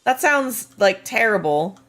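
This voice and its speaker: A woman with a slightly hoarse, gravelly voice, chatting casually with viewers on a game live stream while reading and answering chat. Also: mid-range voice, expressive, unhurried, a bit bright. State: slightly hoarse, losing her voice.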